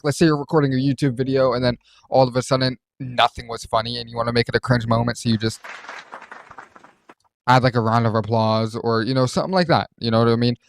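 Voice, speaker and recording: A man's voice: medium pitch, dry recording. Casual man, close and dry sound. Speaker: host reviewing voice changer software. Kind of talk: casual screen-recorded software walkthrough.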